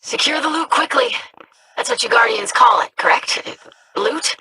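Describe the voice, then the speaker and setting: A woman's voice: high-pitched, sing-song, normal speed, creaky, background noise, full character voice. An actress playing an earnest, polite young female alien scholar. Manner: polite, formal.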